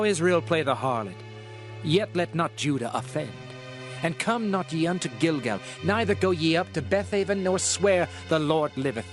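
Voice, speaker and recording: A man narrating scripture in a dramatized style, with a solemn, declamatory delivery as a prophet. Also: on the high side. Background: music.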